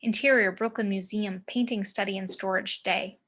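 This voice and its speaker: Woman narrating screenplay directions, mid-pitched. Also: fairly even intonation, moderate pace, fairly bright tone. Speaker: narrator reading stage directions. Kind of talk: screenplay table read narration. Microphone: video call.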